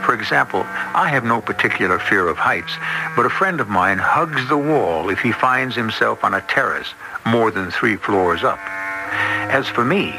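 A man hosting a radio drama with scripted, measured, suspenseful narration. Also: on the low side, expressive, gravelly, over music, poor audio. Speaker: host introducing a story.

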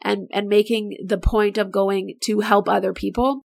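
A middle-aged woman hosting her own podcast, chatting in an enthusiastic, motivational way, her voice a bit raspy and tired. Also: mid-range voice, flat delivery, normal speed, darkish tone. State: voice getting raspy, not feeling great. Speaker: podcast host and business owner. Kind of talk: casual solo podcast talk.

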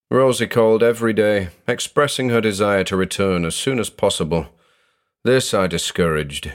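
A man narrating a first-person horror story in a measured, dramatic way. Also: on the low side.

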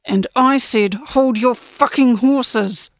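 A woman's voice: on the high side, slow, dry recording, studio quality. Woman playing a blunt, defiant young woman. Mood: simmering anger. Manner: blunt, combative. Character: defiant, hot-tempered, tough young woman.

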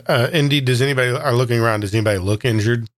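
A man's voice: medium pitch, rapid-fire, no echo, clean studio sound. A man taking part as a player in a tabletop game, casually explaining what his character does.

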